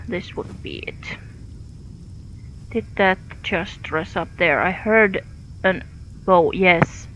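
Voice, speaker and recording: A Finnish-accented woman streams a game and comments as she plays, halting often with fillers. She speaks slowly. The audio is dull and lo-fi, with a faint hiss underneath. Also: mid-range voice. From Finland. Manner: hesitant, many fillers, thinking aloud. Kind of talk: live gameplay commentary.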